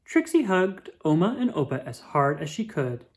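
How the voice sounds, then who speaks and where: A woman reading a children's picture book aloud with expressive, drawn-out emphasis. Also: low voice. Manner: animated, dramatic emphasis with drawn-out words.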